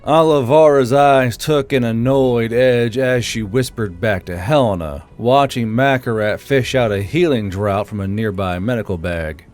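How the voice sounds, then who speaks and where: A man's voice: mid-range voice, measured pace, few pauses, darkish tone. A man reading scripted fantasy fiction as the narrator of an audiobook. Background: music.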